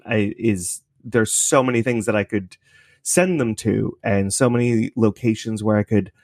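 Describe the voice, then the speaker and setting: Low-voiced man chatting, close and dry. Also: a little mellow, dry recording. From Canada. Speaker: interview guest, podcast creator. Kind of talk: casual interview chat.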